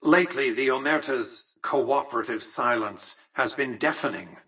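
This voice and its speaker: Imperious, husky-edged, bright-voiced old tycoon. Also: male voice, medium pitch, normal speed, recorded from a distance, rough recording. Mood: commanding, businesslike. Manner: formal, articulate, condescending, dryly superior. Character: cold, imperious old male tycoon ruling a casino city. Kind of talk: scripted video game character dialogue.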